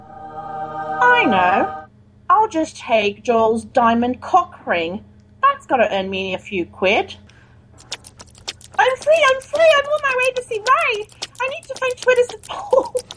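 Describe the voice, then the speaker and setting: A woman's voice: extremely high pitch, a bit bright, a little hiss or hum, pitched above the performer's own voice, full character voice, rough recording. A woman voicing a whiny, lovesick, overdramatic female assistant in an improvised comedy sketch.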